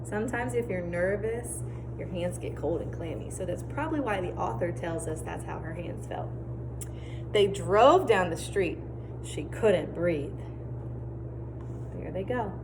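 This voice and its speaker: A woman teacher doing an expressive storybook read-aloud for children, pausing to explain and ask questions. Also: lowish voice. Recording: clear background noise.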